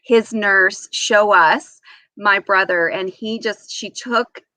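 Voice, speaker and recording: Bright-voiced woman telling personal stories. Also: medium pitch, takes it slowly, no room sound. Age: 40s. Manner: animated, acts out remembered dialogue. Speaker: interview guest, nurse. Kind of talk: casual anecdotal storytelling.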